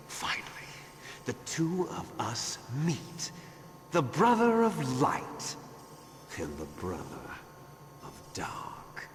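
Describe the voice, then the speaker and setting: A man's voice: on the low side, slight background noise, heavily acted. An actor playing an imperious, menacing male terrorist commander in a video game, with a theatrical, taunting delivery. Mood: solemn, menacing. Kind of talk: dramatic video game voice acting. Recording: long hall-like reverb. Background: music.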